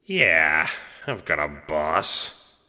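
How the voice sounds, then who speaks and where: A man's voice: extremely low pitch, sing-song, drawn-out words, sharp tone, big-room sound, heavily acted. A man voicing Death, a big, dim, blunt god with a slangy, casually profane way of talking, in an audio drama. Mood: bitter. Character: Death, big lug god of double death, foul-mouthed, dim, blunt, bitter.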